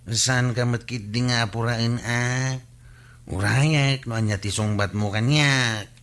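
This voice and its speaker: An eager, simple village fellow in a radio comedy. His Ilocano-accented voice is bright and unhurried, full of questioning hums and nervous little stammers. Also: mid-range voice, steady tone. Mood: nervous, pleading. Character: simple, eager village man unsure in courtship. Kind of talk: comedy radio drama acting.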